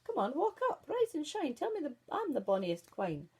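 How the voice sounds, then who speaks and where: Woman putting on a shrill, vain Scottish fairy-tale Queen. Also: huge pitch swings, normal speed, darkish tone, full character voice. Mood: demanding. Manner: imperious, demanding.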